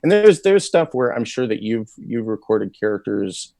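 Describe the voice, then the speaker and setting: A man's voice: mid-range voice, very animated, moderate pace, vocal fry, mellow, dry recording, studio quality. A man, a voice actor, talking casually and thoughtfully in an interview, with many fillers and restarts. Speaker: voice actor guest.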